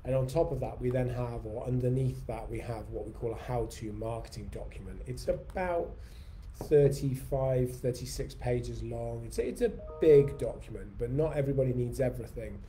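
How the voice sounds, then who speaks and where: English male livestream host, deep mellow tone, casual rambling delivery, slight cough. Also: normal speed, a little hiss or hum, low-quality recording. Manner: rambling, reads viewer comments aloud. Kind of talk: unscripted casual live chat.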